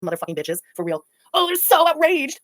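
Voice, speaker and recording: A woman livestreamer talking loudly and profanely in casual chat. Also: high voice, very animated, dry recording, studio quality. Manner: loud, fast, profane.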